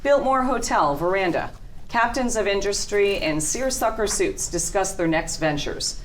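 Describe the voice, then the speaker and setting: A woman's voice: mid-range voice, lively intonation, moderate pace, a little hiss or hum, low-quality recording. A woman reading a script's stage directions as narration at a table read.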